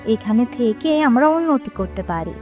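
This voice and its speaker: A woman's voice: a little high, expressive, normal speed, a little mellow, faint music behind, lightly acted. A woman playing an earnest, practical village wife in a moral-story cartoon, encouraging and hopeful. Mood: hopeful, approving.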